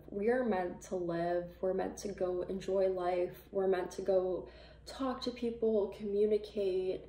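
Chatty young woman with a gravelly edge. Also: mid-range voice, dark tone. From Canada. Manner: chatty, rambling, many likes and ums. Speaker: vlogger. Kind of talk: casual product review. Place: small plain room indoors. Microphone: camera a few feet away. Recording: roomy, distant camera sound.

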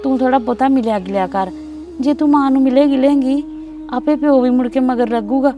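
A caring older sister in a family drama, soothing and firm by turns, speaking, played by a woman. Her voice is high and heard from a distance, with faint music behind. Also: brisk, lightly acted. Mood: consoling. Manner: soothing, pleading, then firm advice. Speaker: actor. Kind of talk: scripted drama acting.

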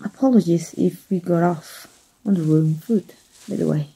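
A woman plays a kind, folksy rebel rescuer in an ASMR roleplay. The voice is very breathy and husky, dark and soft, with a creaky edge. The talk is hushed and coaxing. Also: fairly low pitch, normal speed, full character voice, rough recording. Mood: guarded, friendly. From Mexico. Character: kind human rescuer, gruff-talking, reassuring undercover rebel.

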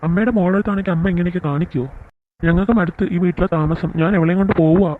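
A man's voice: high-pitched, fairly even intonation, very fast, phone-quality sound, noisy background, lightly acted. A man playing an indignant young married son in an amateur cartoon skit, scolding emphatically. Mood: accusing, firm.

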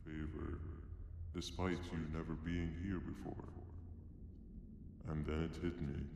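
An actor playing a menacing horned demon monster in a video game, speaking in a slow, deliberate, smug and theatrical way, with heavy echo. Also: male voice, extremely low pitch, level tone, gravelly, full character voice. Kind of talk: video game villain monologue. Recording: echoing hall reverb, noisy game ambience.

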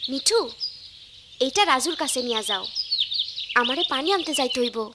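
A woman voicing a kind, eager young village girl in a cartoon, earnest and expressive, with a rural Bangladeshi accent. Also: high-pitched, moderate pace, very noisy. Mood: earnest, worried. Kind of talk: cartoon voice acting.

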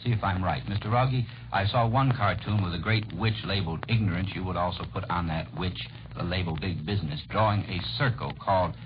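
A man's voice: deep voice, a little fast, hardly any pauses. A man moderating a 1940s radio debate, putting formal, probing questions to the panel. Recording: noisy, muffled 1940s radio recording.

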